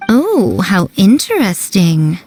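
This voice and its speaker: A woman's voice: mid-range voice, huge pitch swings, slow pace, music in the background. A woman voicing a poised, teasing, sly shrine priestess in an anime game, amused and aloof.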